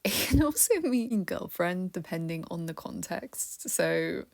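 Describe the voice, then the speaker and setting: Young woman, lowish voice, chatty, laughs a lot, soft whispered word glosses. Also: lively intonation, measured pace, gravelly. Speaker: language teacher. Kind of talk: casual vocabulary lesson.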